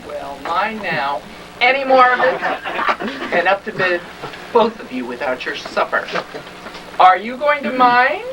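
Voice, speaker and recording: A man's voice: high voice, big pitch swings, measured pace, long pauses. A man voicing an exasperated, long-suffering mother in a comic stage reading of a children's story. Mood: scolding. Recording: distant, very noisy live stage recording.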